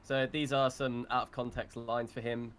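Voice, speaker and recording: A man's voice: medium pitch, flat delivery, moderate pace, fairly bright tone, clean tone. A man chatting casually on a live stream as a voice actor.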